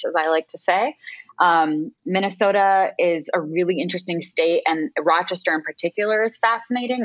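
Low-voiced chatty woman on a video call. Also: dry recording. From Lebanon. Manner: chatty, with frequent fillers and asides. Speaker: guest. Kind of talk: casual video call interview. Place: home room with window. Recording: dull lo-fi video call audio.

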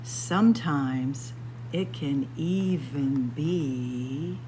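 A woman hosting a story time, reading a picture book to young children in a sing-song way with drawn-out phrases and dramatic pauses. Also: deep voice, warm tone, a little hiss or hum. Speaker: library story time host. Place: indoors among library bookshelves.

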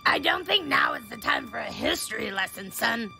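A woman's voice: fairly high pitch, lively intonation, moderate pace, creaky, sharp tone, heavily acted. A woman playing a feisty, stubborn elderly mother in an audio drama, scolding and brash. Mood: fussy, insistent. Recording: echoey room, faint music underneath.

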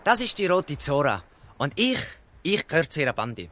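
A man's voice: fairly high pitch, sing-song, moderate pace, a bit bright. A male actor playing an earnest, defiant boy who pleads in Swiss German in a radio play. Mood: earnest, explaining. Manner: pleading, insistent. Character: earnest, defiant orphan boy, protective and honest. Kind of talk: dialect radio play acting.

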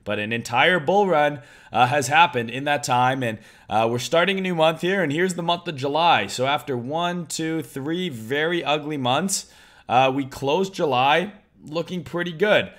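A young man with a hoarse voice, talking loudly and casually on a crypto trading live stream, swearing freely. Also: on the high side, moderate pace. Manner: loud, excitable, swears casually.

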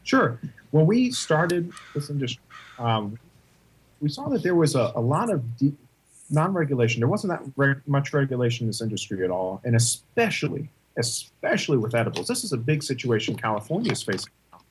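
A man's voice: mid-range voice, sing-song, fairly quick, a little hiss or hum, low-quality recording. A man explaining his company's purpose as an interview guest, earnest and repeating key words. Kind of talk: interview answers.

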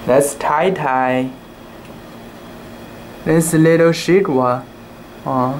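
A young man reading a children's book aloud in a casual, halting way, with cooing asides about the pictures. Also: fairly high pitch, steady tone, slight background noise, low-quality recording. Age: young adult.

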